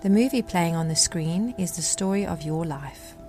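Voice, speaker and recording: A woman leads a guided meditation in a fairly low voice. Her phrasing is gentle and deliberate, with measured pauses between instructions. Faint music and rain sounds sit behind her.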